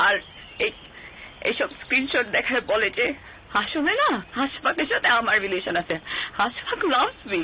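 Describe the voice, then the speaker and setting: A woman's voice: fairly high pitch, very animated, moderate pace. An actress playing a hurt, accusing young girlfriend in a TV drama, with a Bangladeshi accent, emotional and sarcastic, mixing in English. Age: young adult. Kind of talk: TV drama acting. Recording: thin phone-like sound, faint noise.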